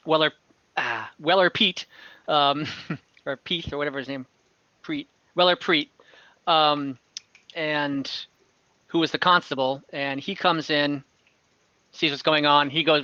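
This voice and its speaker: A man narrating an online fantasy game as game master, conversational and halting, with some light amusement. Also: a little high, sharp tone, no echo, muffled recording.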